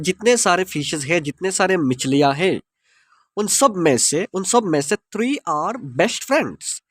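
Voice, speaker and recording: A man's voice: high-pitched, a bit bright, no echo. A man with an Indian accent, a Hindi speaker, teaching English by explaining a story line by line and spelling words out letter by letter.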